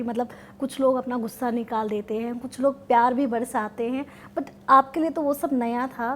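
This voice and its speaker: High-voiced Indian woman interviewer. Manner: polite, mixes English into Hindi. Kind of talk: conversational celebrity interview questions.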